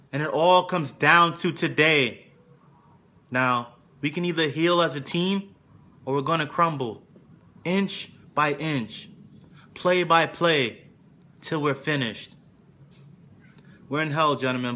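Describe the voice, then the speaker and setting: A man playing a weary middle-aged football coach giving an intense, dramatic film monologue to his team. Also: on the high side. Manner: intense, swings from quiet confessing to shouted exhortation. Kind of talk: dramatic film monologue, locker-room speech.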